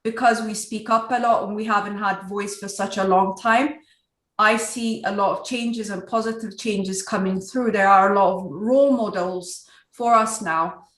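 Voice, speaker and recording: A woman's voice: mid-range voice, steady tone, normal speed. A woman from Ethiopia speaking earnestly as a guest on a virtual panel, with a strong voice. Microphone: video call. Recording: echoey room over a video call.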